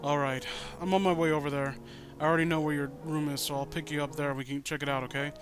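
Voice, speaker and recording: A man voicing a casual, quietly concerned male police detective, low-key and businesslike, in a story reading. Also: steady tone, fast talker, music in the background, natural voice.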